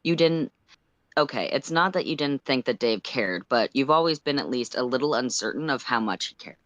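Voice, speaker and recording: A storyteller reading second-person fiction aloud on a livestream in an expressive narrating style. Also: medium pitch, moderate pace, dry recording, dull audio.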